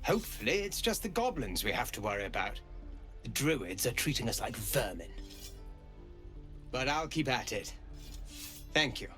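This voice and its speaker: An actor playing a jittery young refugee learning swordplay in a video game, eager and hesitant. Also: male voice, mid-range voice, big pitch swings, normal speed, pauses often, sharp tone. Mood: worried, resolute. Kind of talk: video game dialogue acting. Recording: game music behind the voice.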